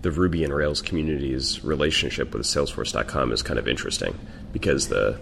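A man's voice: rumbling low, fast, vocal fry, a bit bright, slight background noise. A man hosting a podcast, asking questions and chatting casually with his guest. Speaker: podcast host.

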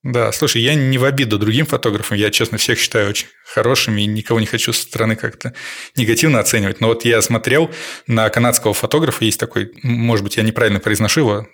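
A man's voice: medium pitch, fast talker, a bit bright. A man speaking as a guest on a podcast, talking in long, thoughtful stretches with frequent fillers. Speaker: podcast guest, landscape photographer. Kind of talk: casual podcast conversation.

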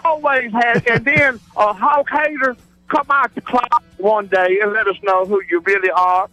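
A man's voice: high voice, steady tone, moderate pace, sharp tone, no echo, soft background music. A man with a Southern US accent phoning a radio show, rambling in an exuberant, folksy way. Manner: exuberant, digressive, folksy showman. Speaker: regular radio phone-in caller. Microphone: telephone. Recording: radio broadcast phone call.

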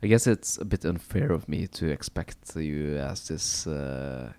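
Man, podcast co-host, very deep voice, halting and teasing delivery. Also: expressive, warm tone, clear, resonant tone. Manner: teasing, hesitant, full of fillers. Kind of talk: casual chat.

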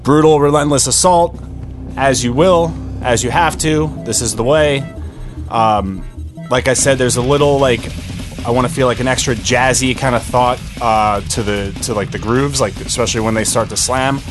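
A man's voice: mid-range voice, moderate pace. A man co-hosting a podcast, talking casually and with informal slang as he reviews music. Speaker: podcast co-host.

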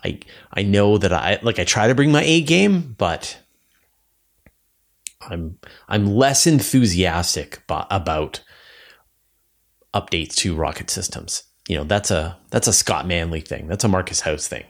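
A man's voice: low voice, animated, unhurried, vocal fry. A man hosting a podcast in casual, conversational, unscripted talk. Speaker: podcast host.

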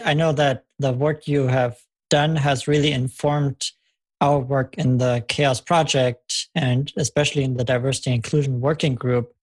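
A podcast host leading a conversational interview, thanking the guest and asking questions with small halting restarts. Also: medium pitch, husky.

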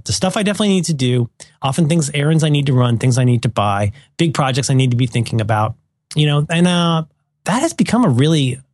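A slightly hoarse man co-hosting a podcast, chatting casually in a rambling, self-deprecating way. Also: medium pitch, a little mellow, no echo, studio quality. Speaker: podcast co-host. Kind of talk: casual chat.

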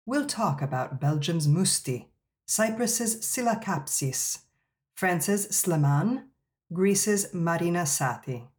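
A man hosting a podcast, welcoming guests with upbeat introductions over a remote call mic. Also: a little high. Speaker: podcast host. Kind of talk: casual podcast chat.

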